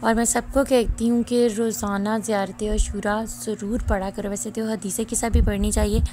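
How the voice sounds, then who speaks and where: A woman's voice: medium pitch, little pitch movement, normal speed, mellow. A woman in her thirties with a Pakistani Urdu accent, casually narrating her daily routine as a mother in an easygoing, rambling way, with a slightly sore throat. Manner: easygoing, chatty, rambling with fillers. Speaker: vlogger and mother.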